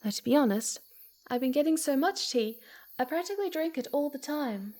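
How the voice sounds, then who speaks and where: A woman voicing a playful, sweet, eager young female fox spirit in a soft ASMR roleplay, cooing and giggly, often slipping into breathy whispers. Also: high voice, lively intonation, a little fast. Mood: welcoming, playful. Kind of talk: ASMR roleplay, speaking softly to the listener.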